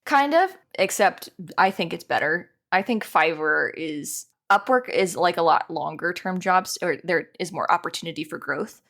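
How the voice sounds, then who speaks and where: A woman with a slightly low speaking voice talks as a podcast guest. She is chatty and informal, with a self-deprecating, easygoing conversational way of explaining things. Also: expressive, normal speed, vocal fry, hardly any pauses, fairly bright tone. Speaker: podcast guest, animator and dungeon master. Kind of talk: casual interview chat.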